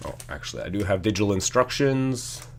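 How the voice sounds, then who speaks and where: A low-voiced man hosts a casual live stream, his voice a little hoarse and stuffy from a sinus infection. He chats easily and reads out viewers' messages while working on a set. Faint rustling and crinkling of plastic bags sits in the background. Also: brisk, noisy background. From Canada. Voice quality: slightly hoarse, congested. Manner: relaxed, chatty, reading out chat messages. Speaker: live stream host building LEGO.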